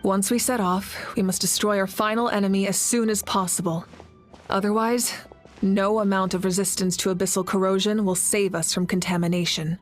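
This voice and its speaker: A woman playing a commanding warrior leader in a video game, solemn and rallying, speaking with measured, dignified oratory. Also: medium pitch, steady tone, soft background music, natural voice. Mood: grave.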